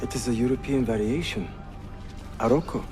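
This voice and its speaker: Guy playing young ottoman prince, medium pitch, polite but sure of himself, music behind. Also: a little fast, close to an everyday voice. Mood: playful, assured. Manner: polite, self-assured. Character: confident, courteous young Ottoman princely nephew. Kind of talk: dramatic video game cutscene acting.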